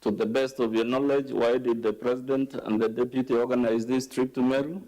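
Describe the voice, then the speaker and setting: A Kenyan man acting as counsel, asking deliberate, leading questions at a formal hearing. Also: mid-range voice, steady tone, mellow, poor audio. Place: legislative chamber hearing.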